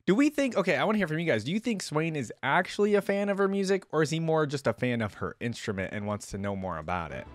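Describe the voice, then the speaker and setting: A man's voice: fairly high pitch, big pitch swings, quick pace, few pauses, no room sound. A man in his twenties reacting casually, thinking aloud and putting questions to viewers, close on a desk microphone. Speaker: reaction video host. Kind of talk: casual reaction commentary on game voice lines. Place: indoor desk setup at home. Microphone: desk microphone close to the mouth.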